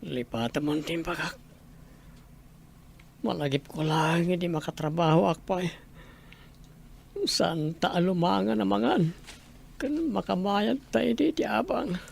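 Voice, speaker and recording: A man acting a sick, struggling, downcast smoker in an Ilocano audio drama, with an Ilocano accent and a creaky, gravelly voice. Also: sits high, measured pace, long pauses, full character voice. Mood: weary, pleading. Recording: faint background noise.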